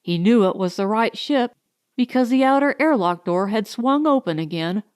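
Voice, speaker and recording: A woman reading a science fiction audiobook as a dramatic narrator, with deliberate, suspenseful, weighted phrasing. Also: a little high, animated, clear, resonant tone, dry recording, studio quality.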